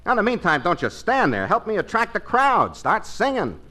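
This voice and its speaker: An actor playing a brash, scheming small-time huckster with glib patter in a 1940s radio comedy. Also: male voice, high-pitched, wildly swooping pitch, fairly quick, bright, forceful tone, heavily acted. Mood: brazen persuading. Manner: glib, brazen, hawker-like patter. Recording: vintage radio show recording.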